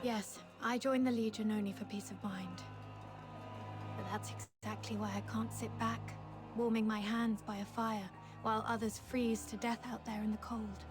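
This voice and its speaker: A resolute young female officer in a game drama acted by a woman speaks solemnly and from the heart. Her voice is on the high side, and music plays behind her. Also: flat delivery, normal speed, lightly acted. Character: earnest, resolute young female legion officer, guilt-haunted. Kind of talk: dramatic video game voice acting.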